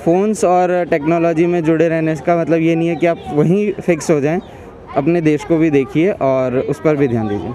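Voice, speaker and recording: A man's voice: fairly high pitch, fairly even intonation, normal speed, warm tone. A young man in his twenties, a student answering street interview questions outdoors in a park, earnest and hesitant, mixing English into his Hindi.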